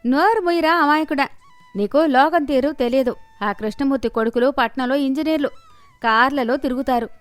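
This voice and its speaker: A woman's voice: high-pitched, brisk, no room sound, faint music behind, lightly acted. A woman voicing a pretentious, scheming middle-aged village wife in a cartoon moral story, haughty and fussy. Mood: scolding. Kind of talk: animated moral story dialogue.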